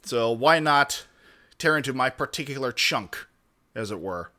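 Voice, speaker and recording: A man's voice: mid-range voice, lively intonation, a little slow, pushed and bright. A man hosting a reaction stream with casual, wordy commentary and mildly formal, roundabout turns of phrase.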